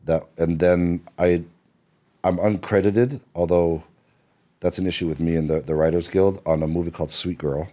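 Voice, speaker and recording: A man's voice: low voice, fairly even intonation, normal speed, mellow. A middle-aged man from Seattle, a screenwriter and podcast guest, telling his story in a loose, rambling way with lots of fillers and restarts. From Seattle, Washington. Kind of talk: relaxed interview storytelling.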